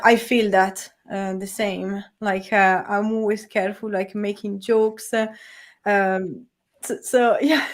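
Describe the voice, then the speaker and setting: Woman, stops and starts a lot, casual chat. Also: medium pitch. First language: Italian. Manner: hesitant, with many fillers. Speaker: guest creator.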